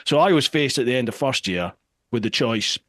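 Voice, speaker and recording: A Scottish man in his forties hosting a podcast, telling stories in a casual, self-deprecating way with animated asides. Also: medium pitch, a little fast, no room sound, clean studio sound. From Scotland. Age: 40s. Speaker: podcast host. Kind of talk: casual chat.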